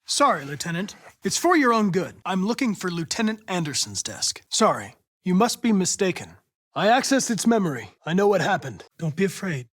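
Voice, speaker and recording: A man's voice: sits high, sing-song, moderate pace, a bit bright, heavily acted. A man playing a polite, precise young male android detective in a dramatic video game. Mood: calm, formal. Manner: precise, formal, clipped. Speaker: police investigator android.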